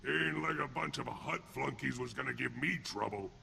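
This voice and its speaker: Gravelly, brutish alien gangster thug. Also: male voice, medium pitch, moderate pace, pushed and bright, a little hiss or hum. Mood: smug, gruff. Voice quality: gravelly, rough. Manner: crude street-gangster slang, taunting and blunt. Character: brutish, sneering male alien thug and ex-convict enforcer. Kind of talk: video game character acting.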